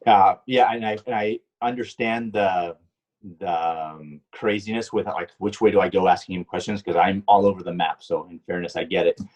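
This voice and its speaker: A middle-aged man from Puerto Rico tells long stories with a very deep, husky voice. He rambles, restarts his sentences and fills pauses with ums as he answers questions. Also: fairly even intonation, a little mellow. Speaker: guest, stunt driver and car designer. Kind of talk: casual interview answers.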